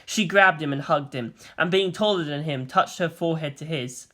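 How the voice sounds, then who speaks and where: A storyteller reading dramatic scripted fiction in a solemn, expressive way. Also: medium pitch, normal speed. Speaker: fan fiction narrator.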